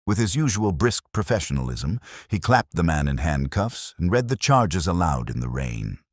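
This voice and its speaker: A man's voice: sits low, big pitch swings, normal speed, no echo, studio quality. A man reading a detective story aloud as scripted audiobook narration.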